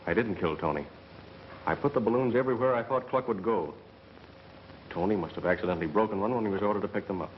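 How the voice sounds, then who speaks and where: Actor as low-voiced haunted young killer, American. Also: male voice, quick pace, lightly acted. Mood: anguished. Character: bitter, haunted young male murderer confessing. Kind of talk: 1930s melodrama film acting. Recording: muffled, hissy 1930s film soundtrack.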